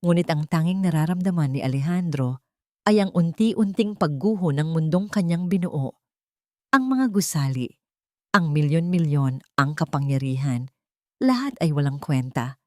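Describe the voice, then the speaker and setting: A woman reading a scripted dramatic story in a solemn, emotive manner with deliberate pauses. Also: sits low, animated, no echo, studio quality. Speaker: audio drama narrator.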